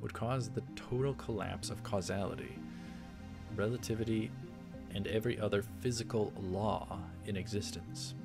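A man voicing a composed, logical eighteen-year-old woman genius from a visual novel, in a measured, emphatic, scholarly manner. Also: fairly low pitch, steady tone, creaky. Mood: firm, logical. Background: game music.

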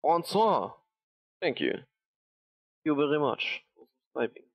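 A man's voice: on the high side, big pitch swings, a little slow, no room sound, dull audio. A young man streaming a game from a small room on a headset, chatting casually, swearing and thanking subscribers.

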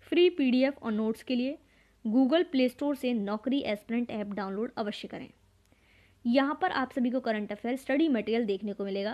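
Female exam-prep tutor, higher-pitched, methodical read-aloud of questions and answers. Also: a little mellow.

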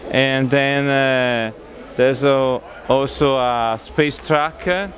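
A man with an Italian accent talks at a slow pace in a medium-pitched voice. He explains things casually, feeling for his words in hesitant phrases. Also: steady tone, crowd noise. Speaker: exhibitor, hobby model builder. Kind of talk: casual on-camera explanation of a display. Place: large busy exhibition sports hall. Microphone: handheld interview microphone.

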